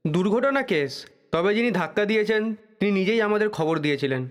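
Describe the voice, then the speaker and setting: Echoey radio-play police sub-inspector, high-pitched, brusque, guarded, officious. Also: male voice, expressive, a little fast. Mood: curt, guarded. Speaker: actor in an audio drama. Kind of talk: Bengali radio play acting. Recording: long reverberant room echo.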